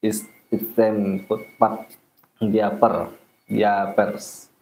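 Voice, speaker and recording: Man, 30s, Indonesian accent, live-stream host: low-pitched, unhurried, halting simple English, phone mic at arm's length in a small home room. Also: flat delivery, darkish tone, a little hiss or hum, poor audio. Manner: halting, simple English mixed with Indonesian, frequent short laughs. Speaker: livestream host caring for a baby monkey. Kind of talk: casual livestream chat reading viewer comments. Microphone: phone camera at arm's length.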